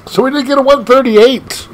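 A man casually commenting on an arcade game as he plays, excitable and laughing often, leaping from squeaky highs to low growls. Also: slight background noise, poor audio. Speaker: gaming channel host.

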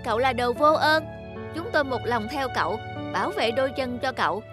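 Chiếc giày hoạt hình tủi thân, giọng cao. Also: female voice, high-pitched, expressive, a little fast, over loud music. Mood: reproachful. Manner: plaintive, emphatic. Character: hurt, reproachful talking children's shoes. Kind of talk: Vietnamese children's cartoon dubbing.

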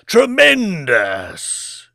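A man voicing an imperious, evasive older English lord in a dramatised audiobook, with a grandiose, emphatic, drawn-out delivery. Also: a little high, big pitch swings, no echo, clean studio sound, pitched above the performer's own voice. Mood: exultant.

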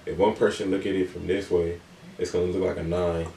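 A man in his twenties hosting a reaction video, recapping in a relaxed, meandering way with many fillers, recorded in a small indoor room with a webcam. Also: low voice, fairly quick, creaky, roomy sound, far from the mic. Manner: relaxed, meandering, lots of fillers and "you know". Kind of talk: casual rambling recap.

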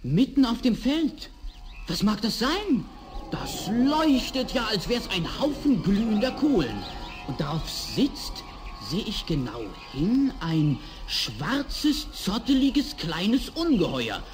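An actor playing a crafty, lazy, cheeky peasant in a 1960s fairy-tale radio play, theatrical and self-satisfied. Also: male voice, sits high, big pitch swings, takes it slowly, music in the background, heavily acted. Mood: weary, curious.

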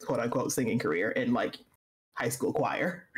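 A woman with a deep voice, chatting casually and mocking with sarcasm. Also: animated, moderate pace, noisy background. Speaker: guest streamer. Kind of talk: casual banter.

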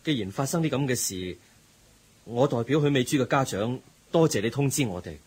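An actor playing a calm, polite school counselor with a husky voice in a radio drama. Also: male voice, medium pitch. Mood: reproachful. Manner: measured, polite. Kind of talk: 1980s radio drama acting.

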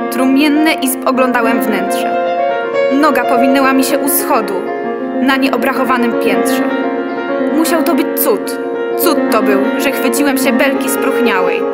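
A woman's voice: fairly high pitch, close to an everyday voice. A young woman giving a dramatic poetry recitation in a radio play. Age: young adult. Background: piano music.

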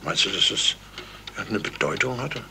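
An actor playing a sleuth's loyal, doubting confidant in a 1970s German radio crime drama. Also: male voice, lowish voice, animated, moderate pace, gravelly. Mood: doubtful. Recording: slightly noisy old radio recording.

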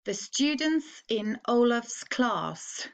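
Norwegian teacher reading slowly, higher voice. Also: female voice, big pitch swings. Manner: slow, clearly phrased read-aloud with pauses. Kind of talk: slow read-aloud for learners to repeat.

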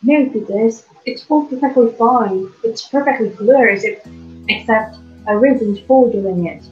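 A woman's voice: a little high, a little slow, faint music behind, natural voice. A young woman playing a condescending male county attorney in an amateur stage drama, hesitating with frequent ums. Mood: businesslike. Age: young adult. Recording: echoey, dull webcam audio.

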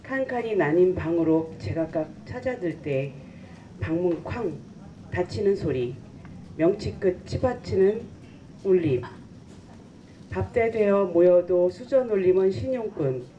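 A middle-aged woman reading a poem aloud at an outdoor festival in a calm, measured way, holding a microphone. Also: on the low side, a little hiss or hum. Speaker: poetry reader. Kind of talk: poetry recitation. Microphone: handheld microphone. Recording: distant, muffled outdoor recording.

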